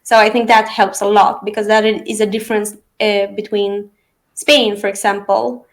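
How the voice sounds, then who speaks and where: A woman from Sweden, whose first languages are Aramean and Arabic, talking through her path in real estate in a relaxed interview with frequent fillers and restarts. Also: mid-range voice, normal speed. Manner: thoughtful, many fillers and restarts. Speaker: real estate agent, guest. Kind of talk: casual interview conversation.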